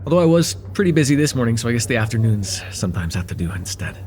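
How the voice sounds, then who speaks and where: A man's voice: mid-range voice, very animated, fast, darkish tone, a little hiss or hum, lightly acted. A man playing a friendly, chatty young guy at a cafe in a casual, slightly hesitant conversational ASMR roleplay.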